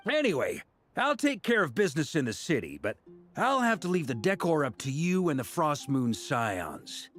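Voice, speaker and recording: An actor playing an easygoing, hearty, upbeat adventurer in a video game, breezy and reassuring. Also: male voice, fairly high pitch, huge pitch swings, moderate pace, fairly bright tone. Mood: cheerful. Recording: music behind the voice.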